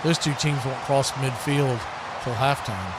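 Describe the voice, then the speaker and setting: An American man doing live football play-by-play commentary, conversational between snaps and animated on big plays. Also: medium pitch, measured pace, heavy background noise. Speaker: play-by-play sportscaster.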